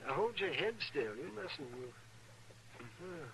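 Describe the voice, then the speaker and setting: An American actor playing a fussy, kindly but blunt frontier doctor in a radio western, soothing and grumbling by turns. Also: male voice, on the high side, very animated, slow, creaky, a bit bright, exaggerated voice, low-quality recording. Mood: soothing, guarded. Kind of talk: 1950s radio western acting. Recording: old radio recording with faint noise.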